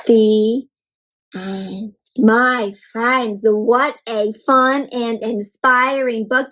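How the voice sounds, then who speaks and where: A young woman with a high voice reads a picture book aloud to children and talks with them as she goes. Her delivery is animated and encouraging, and she speaks directly to her young listeners. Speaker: children's storybook reader and host. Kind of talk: interactive read-aloud for kids.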